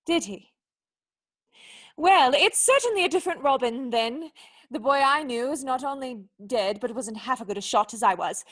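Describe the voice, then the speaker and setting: Radio play actress for a young noblewoman: high register, formal, defensive, secretly knightly and proud. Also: animated, normal speed, a bit bright. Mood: flustered denial. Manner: earnest, protesting, ladylike formal speech.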